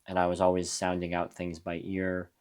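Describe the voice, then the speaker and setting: A young adult man from New Mexico, a musician guest in a casual interview, talking in a reflective, halting way with frequent restarts. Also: low-pitched, completely flat delivery, a little mellow, clear, resonant tone. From USA (New Mexico).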